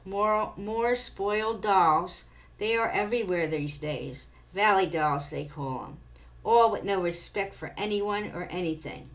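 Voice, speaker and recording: A woman doing a stern male police officer in a storybook tale. He speaks slowly and firmly, in a flat official tone with no room for excuses. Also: mid-range voice, close to an everyday voice, poor audio. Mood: weary. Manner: slow, firm, official.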